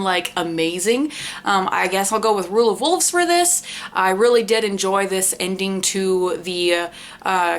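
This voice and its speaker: A woman's voice: mid-range voice, a bit bright. Casual, rambling woman chatting to viewers. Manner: informal, rambling, self-deprecating asides, some swearing. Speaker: book review video creator. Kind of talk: casual chat to camera.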